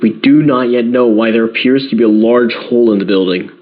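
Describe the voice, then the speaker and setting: A man playing a grave New York radio newsman in an audio drama, delivering breaking news in a measured, careful way. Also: medium pitch, a little hiss or hum, lightly acted. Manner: measured, careful, repeating key details. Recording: slightly dull radio broadcast sound.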